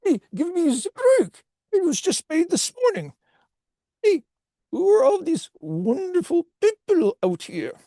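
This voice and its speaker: A man voicing a cheerful, chatty talking potato salad with an exaggerated French accent and an excitable, theatrical manner. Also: extremely high pitch, measured pace, no echo, studio quality, voice pushed up, full character voice. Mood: cheeky, delighted.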